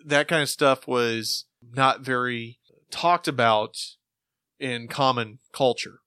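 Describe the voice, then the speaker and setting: A man's voice: mid-range voice, takes it slowly, sharp tone, clear, resonant tone, dry recording, studio quality. A man co-hosting a casual review, giving his opinions in a reflective, conversational manner. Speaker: podcast co-host.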